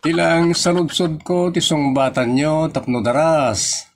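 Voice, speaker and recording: A man's voice: a little high, a little slow, background noise. A man voicing an exasperated, insistent clinic nurse with an Ilocano accent in a comedy audio drama. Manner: insistent, repeating himself. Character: exasperated male clinic nurse interviewing a rambling patient.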